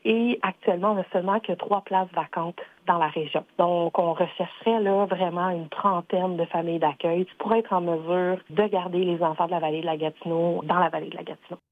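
Measured Quebec French female interviewee, lowish voice, tinny phone line. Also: steady tone. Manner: informative, measured. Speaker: social services manager interviewed. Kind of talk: explanatory interview clip.

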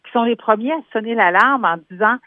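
A woman's voice: on the high side, a little fast, dry recording. A woman with a Québécois French accent, a workplace columnist explaining with concrete examples as she calls in to a radio show. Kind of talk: radio phone-in commentary. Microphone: telephone. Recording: tinny phone line, dry.

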